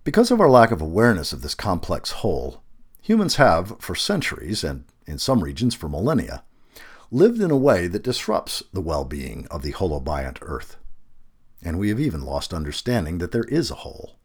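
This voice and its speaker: Deep, husky, expressive male article narrator. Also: moderate pace. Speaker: narrator reading a magazine feature aloud.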